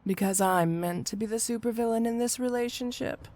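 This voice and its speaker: A woman's voice: a little high, darkish tone, lightly acted. A woman playing a flirty, teasing supervillain cat burglar in an intimate ASMR roleplay, speaking coyly to the listener. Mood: cool, teasing. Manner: coy, teasing, intimate, unhurried.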